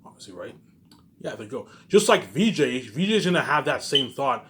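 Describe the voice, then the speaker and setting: A man's voice: medium pitch, sing-song, moderate pace, a bit bright. Agitated man in a repetitive rant. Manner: agitated, emphatic, swearing; repetitive, with trailing asides at phrase ends. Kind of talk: informal rambling monologue to viewers.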